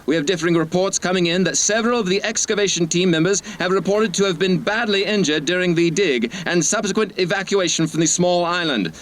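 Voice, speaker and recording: A man's voice: high-pitched, a little fast, few pauses, natural voice. A man playing a formal, urgent news reporter reading a bulletin in an audio drama.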